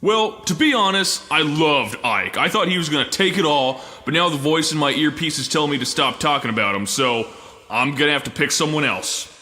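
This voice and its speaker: Comic radio-play lumberjack, male actor, boisterous and rambling, forceful bright voice, hall reverb. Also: a little high, expressive, brisk. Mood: cheerful, boisterous. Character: goofy cheerful big lumberjack man aged thirty, easily scared. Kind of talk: comic audio drama acting.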